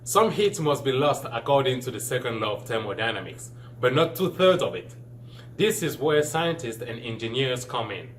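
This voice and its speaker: A man's voice: medium pitch, expressive, moderate pace, slight background noise. Earnest young Cameroonian man presenting. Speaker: student presenter. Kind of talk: scripted science presentation.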